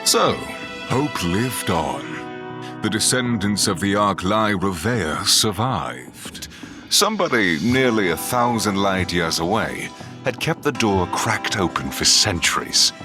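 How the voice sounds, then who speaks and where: An actor playing a grave storyteller, narrating a science fiction audio drama in dramatic style. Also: male voice, fairly low pitch, very animated, takes it slowly. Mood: grave, portentous. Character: grave male storyteller of a space epic. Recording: loud music under the voice.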